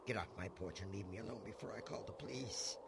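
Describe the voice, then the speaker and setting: A woman playing a wary, scolding older mother with a creaky, gravelly voice in a radio drama. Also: very deep voice, fast talker. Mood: suspicious, alarmed. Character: suspicious, frightened older mother turning away a visitor. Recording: faint background noise.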